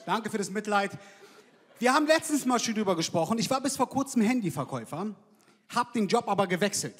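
Brash comic on a hall stage. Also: male voice, sits high, sing-song, a little fast, a bit bright, slight background noise. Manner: brash, slangy, punchy delivery. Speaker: stand-up comedian. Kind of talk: stand-up comedy set. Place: large theater stage with live audience. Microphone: handheld microphone. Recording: live hall with crowd reactions.

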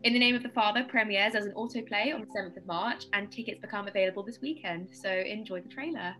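A woman's voice: mid-range voice, quick pace, bright, forceful tone, soft background music, rough recording. A woman in her twenties casually hosting an interview over a video call. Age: 20s. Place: indoor room, video call.

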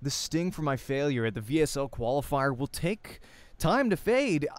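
A man's voice: fairly high pitch, very animated, normal speed. Man reading a visual novel aloud. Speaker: let's play streamer. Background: faint music.

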